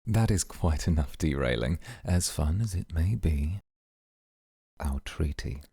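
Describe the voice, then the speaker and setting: A man playing a composed, calculating, coolly amused mafia boss, speaking in a measured, formal way in an ASMR roleplay. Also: very deep voice, animated, warm tone, breathy edge, dry recording, clean studio sound, exaggerated voice. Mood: measured, firm.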